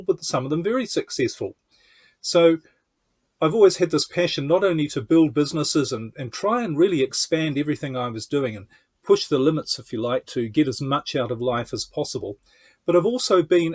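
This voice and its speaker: A man's voice: fairly high pitch, animated, moderate pace, breathy edge. A man from New Zealand with a New Zealand accent, talking conversationally as a coach being interviewed on a podcast. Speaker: podcast guest, entrepreneur coach.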